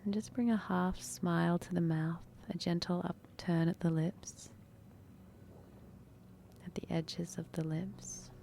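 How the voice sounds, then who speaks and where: Soft, low-voiced woman guiding meditation. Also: steady tone, a little hiss or hum. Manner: soft, breathy, unhurried delivery with long pauses. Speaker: guided meditation instructor. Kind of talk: guided meditation, soothing instruction.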